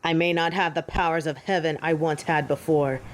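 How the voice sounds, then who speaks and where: A woman's voice: low voice, steady tone, moderate pace, background noise, close to an everyday voice. A woman playing a proud fallen angel in an audio drama, with haughty, theatrical and formal delivery. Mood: defiant, indignant. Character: proud, defiant fallen female angel of judgement. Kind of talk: scripted audio drama acting.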